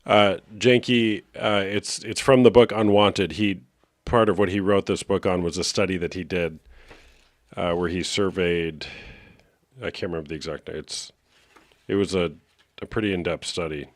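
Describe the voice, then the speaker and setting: A man hosting a live call-in show, talking in a relaxed, steady way and asking callers short, direct questions. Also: low-pitched, normal speed, dry recording, studio quality. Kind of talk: casual call-in talk.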